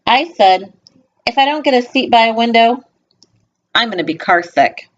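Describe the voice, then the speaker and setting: A woman reading a picture book aloud to young children, expressive, with informal, gently instructive asides spoken directly to them. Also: fairly high pitch, moderate pace. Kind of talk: read-aloud storytelling with chatty asides. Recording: dull lo-fi home video sound.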